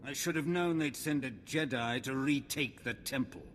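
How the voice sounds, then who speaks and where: A man's voice: medium pitch, normal speed, fairly bright tone, big-room sound, faint music behind, natural voice. An actor playing an arrogant, defiant male Sith lord who talks in a haughty, threatening way, in a video game. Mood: menacing.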